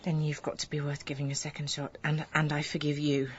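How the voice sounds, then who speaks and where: Frank, teasing young wife and mum, played by a female actor, notably low voice, radio comedy, slightly muffled audio. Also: completely flat delivery, a little fast, slight background noise, lightly acted. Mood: forgiving, teasing. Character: exasperated but loving, sharp-witted young wife and mother.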